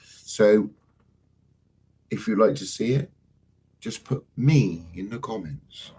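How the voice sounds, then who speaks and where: Husky man, rambling and sweary. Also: lowish voice, expressive, takes it slowly, mellow. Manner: rambling, swears often, loses his thread. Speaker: host of a live stream. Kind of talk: casual live-stream chat.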